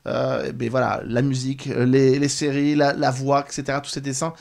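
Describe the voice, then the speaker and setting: A man hosting a talk show, speaking off the cuff with halting phrasing and repeated words. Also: medium pitch, flat delivery, creaky, darkish tone. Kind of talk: unscripted studio presenting.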